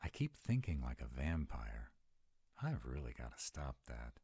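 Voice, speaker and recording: Guy voicing an earnest uneasy vampire, super low husky voice that moves around a lot, audio roleplay. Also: very animated, a little slow, dark tone, dry recording, full character voice. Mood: troubled, puzzled. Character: young male vampire, earnest, dutiful, uneasy, protective. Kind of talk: roleplay audio drama acting.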